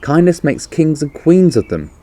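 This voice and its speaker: Guy doing a worried earnest lady in a kids story, raised pitch, pleading. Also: sing-song, normal speed, warm tone. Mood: earnest, admiring. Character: earnest, distressed woman defending the man she loves. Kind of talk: children's storybook character reading.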